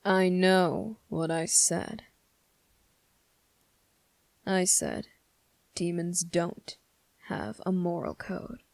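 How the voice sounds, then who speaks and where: An actor playing a brooding, menacing demon with a hidden moral code in an intimate ASMR roleplay, speaking in slow, deliberate, taunting phrases with a gravelly, at times breathy voice. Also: mid-range voice, fairly even intonation, dry recording. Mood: defensive, brooding. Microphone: close, dry microphone.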